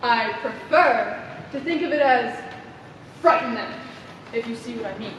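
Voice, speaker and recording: A woman acting in a live stage play as an imperious, scheming committee president, making pompous, deliberate speeches. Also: on the high side, a bit bright. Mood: smooth, condescending. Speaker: stage actor. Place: indoor theater stage, recorded from afar. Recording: echoey theater hall, distant mic, faint noise.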